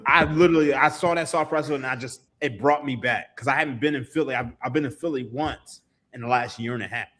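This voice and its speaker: A man's voice: medium pitch, fast, hardly any pauses, a bit bright. A man, a podcast guest telling stories in casual chat, laughing and swearing freely. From Philadelphia, USA. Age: 30s. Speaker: podcast guest, sports writer.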